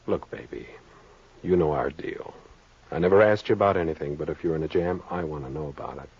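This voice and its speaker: A man playing a possessive, protective tough-guy husband in an old-time radio crime drama, slangy and quietly menacing. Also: a bass voice, brisk, breathy edge, heavily acted. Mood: low, protective. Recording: muffled, noisy old-time radio recording.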